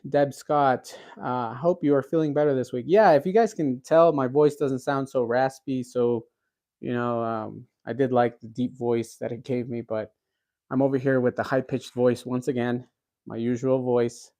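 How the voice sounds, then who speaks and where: A man's voice: medium pitch, fairly even intonation, normal speed, warm tone. A man in his thirties hosting a cooking livestream, chatting casually with viewers and reading out their comments, rambling with lots of fillers and restarts. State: recovering from a cold.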